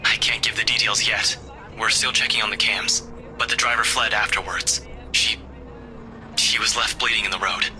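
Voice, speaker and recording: A man voicing a blunt, grim young man who breaks bad news haltingly, in a visual novel game. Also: fairly low pitch, fairly even intonation, bright, forceful tone, faint music behind. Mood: grim, shaken. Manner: hesitant, halting, terse.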